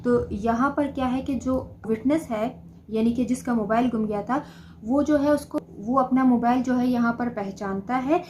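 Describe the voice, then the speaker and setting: A woman giving an explanatory study lesson as a lecturer, reading key phrases slowly and then explaining them plainly. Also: fairly high pitch, a little mellow, a little hiss or hum. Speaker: law lecturer. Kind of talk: explanatory study lesson mixing read-out English notes with commentary.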